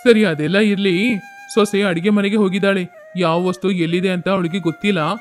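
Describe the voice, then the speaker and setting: A kindly middle-aged father-in-law acted by a man in a moral storybook tale. His voice is high for a man, coaxing and advising, over faint music. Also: fairly even intonation, moderate pace, close to an everyday voice. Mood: placating.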